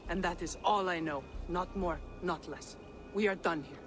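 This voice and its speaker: A woman's voice: on the low side, fairly even intonation, normal speed, background noise, lightly acted. A woman playing a brazen, sly mercenary leader in a video game, speaking in a teasing, theatrical drawl. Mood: sly bargaining. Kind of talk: dramatic video game acting.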